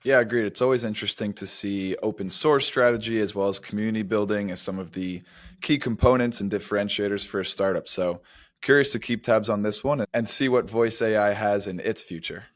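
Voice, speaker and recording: A man's voice: lowish voice, a little fast, no echo, clean studio sound. A man co-hosting a podcast, giving conversational commentary on business. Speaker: podcast co-host.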